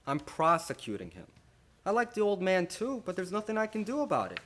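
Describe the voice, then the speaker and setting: An actor playing a harried, indignant prosecutor in a radio play, speaking emphatically. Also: male voice, sits high, wildly swooping pitch, fairly quick, a bit bright, exaggerated voice. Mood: exasperated. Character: harried, exasperated male prosecutor. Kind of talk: stage-like radio play acting.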